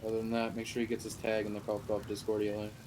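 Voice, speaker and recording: A man's voice: lowish voice, monotone, fast talker. A man chatting in an online roleplay game, blunt and bossy, with casual swearing. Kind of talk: casual gaming voice chat, half in character. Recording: noisy game voice chat audio.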